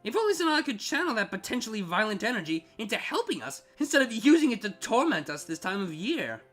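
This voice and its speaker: A woman's voice: fairly high pitch, very animated, fast talker, fairly bright tone, faint music behind. A woman voicing a haughty, irritable, sarcastic siren in a storybook reading, with a biting, exasperated manner. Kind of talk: storybook fan fiction reading.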